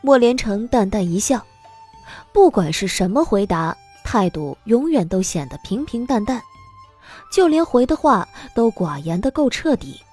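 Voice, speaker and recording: A woman narrating a scripted audio drama in an expressive storytelling manner. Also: medium pitch, measured pace, husky. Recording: music bed behind the voice.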